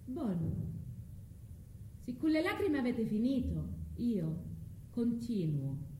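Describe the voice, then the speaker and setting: Scorned foreign wife, southern Italian accent, slow delivery, proud and vengeful, stage monologue, echoey room. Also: female voice, a little high, big pitch swings, mellow. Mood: sardonic. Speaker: actress performing a theatre monologue. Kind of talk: theatrical monologue mixing dialect and Italian. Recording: echoey room, faint background noise.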